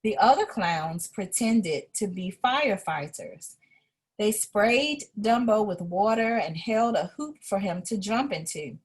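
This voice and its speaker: A woman reading a children's story aloud in an expressive way, as an educator would to a young listener. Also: medium pitch, measured pace, slightly rough, low-quality recording.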